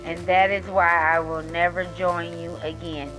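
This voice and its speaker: A woman's voice: on the low side, little pitch movement, unhurried, music in the background, lightly acted, rough recording. A woman playing a guarded, defiant vigilante heroine in an amateur superhero film, firm and serious. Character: defiant, guarded female vigilante hero with a past.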